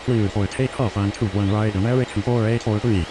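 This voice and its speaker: Low-voiced man on noisy pilot radio. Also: fairly even intonation, fairly quick. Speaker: airline pilot on air traffic radio. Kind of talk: scripted radio phraseology. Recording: loud noisy hiss and hum.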